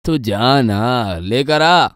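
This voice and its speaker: A man's voice: medium pitch, expressive, very slow, a bit bright, no room sound, studio quality. Man acting swooping, bossy young tough guy. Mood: impatient. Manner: scolding, slangy, taunting. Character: bossy, mocking street-tough young man, gang elder brother. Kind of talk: Hindi horror audio story acting.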